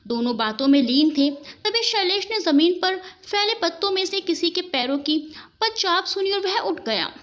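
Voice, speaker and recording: A woman's voice: very high-pitched, animated, normal speed, a bit bright. A woman narrating a scripted story as a storyteller.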